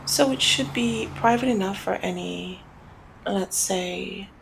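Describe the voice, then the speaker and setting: A woman's voice: mid-range voice, takes it slowly, vocal fry, a little hiss or hum, poor audio. A woman playing a flirty, commanding female mob boss in an ASMR roleplay, talking in a teasing, coy and controlled way. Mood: teasing, sly. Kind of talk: ASMR roleplay, scripted one-sided dialogue.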